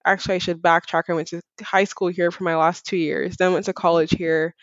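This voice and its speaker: A woman's voice: lowish voice, little pitch movement, fairly quick, no echo, highs cut off. A woman from Barbados answering casually and informally as a podcast guest, sprinkling in "like" and "you know". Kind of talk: casual conversational interview answers.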